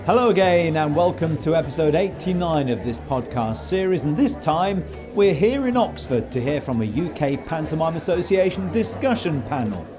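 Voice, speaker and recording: A man's voice: on the high side, expressive, normal speed, over music. A man presenting a podcast as host, in scripted narration and interview questions.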